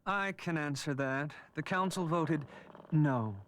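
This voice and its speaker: An actor playing the creepy, spoiled young son of a cartoon villainess, with a mocking manner. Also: male voice, on the high side, slow, a bit bright. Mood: smug taunting. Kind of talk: animated series voice acting.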